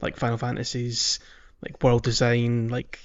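A man co-hosting a casual podcast discussion, talking in a halting, rambling way and often repeating words. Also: medium pitch, completely flat delivery, smooth, highs cut off. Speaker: podcast co-host.

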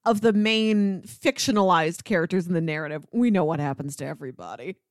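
A woman's voice: lowish voice, fairly quick, no echo. Dry-recorded woman chatting about film. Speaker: podcast co-host. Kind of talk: casual film discussion.

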